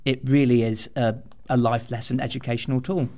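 A man with a medium-pitched voice talks as a podcast guest. His delivery is conversational, earnest and keen, like someone who cares about the subject. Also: fairly even intonation, a little fast, a little mellow. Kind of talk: conversational interview answers.

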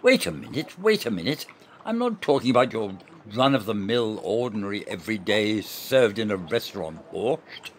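A man's voice: fairly low pitch, big pitch swings, moderate pace, a little hiss or hum. A man acting in an amateur radio play as a proud, stubborn general, speaking in a formal, pedantic and emphatic way.

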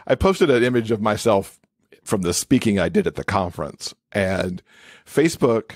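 Male interview guest, lowish pitch, conversational explainer with "you know" asides, dry sound. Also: expressive, a little slow, a little mellow, dry recording. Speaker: podcast guest, accessibility expert and author.

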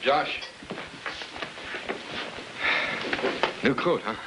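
A man's voice: medium pitch, lively intonation, moderate pace, very noisy, natural voice. An actor playing a genial, probing middle-aged father in a 1950s film drama, folksy and casual in his questions. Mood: breezy. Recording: old film soundtrack under loud music.

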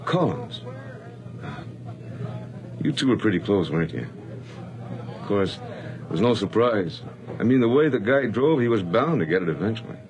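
A man's voice: on the low side, animated, moderate pace, pauses often, crowd noise, natural voice, rough recording. An actor playing a talkative, effusive salesman and old friend in a radio drama. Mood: sombre. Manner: effusive, chatty. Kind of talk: 1980s radio drama acting.

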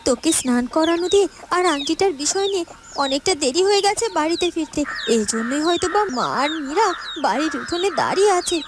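A woman's voice: very high voice, lively intonation, fast, noisy background. A woman voicing a meek, dutiful young village girl in a cartoon, anxious and eager to please. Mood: worried. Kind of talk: cartoon voice acting. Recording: music behind the voice.

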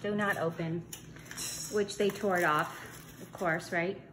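A woman's voice: lowish voice, steady tone, measured pace. A middle-aged woman casually demonstrating a recipe in her home kitchen, chatty and joking at her own expense. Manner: chatty, joking, self-teasing. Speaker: home cook vlogger. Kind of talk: casual cooking demo. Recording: noisy kitchen with sizzling pan.

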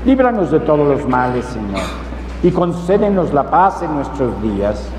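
A middle-aged man, a priest praying the Mass in a measured, solemn liturgical delivery. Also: on the high side, very animated. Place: large church, at the altar. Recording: echoey basilica, long reverb, loud noise.

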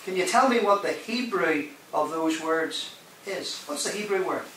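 Echoey preacher in a hall. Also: male voice, sits high, lively intonation, normal speed, a bit bright. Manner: emphatic, rising and dropping for effect, questions the congregation. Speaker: guest preacher. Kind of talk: sermon. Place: large hall. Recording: very echoey hall, mic at a distance, faint hiss.

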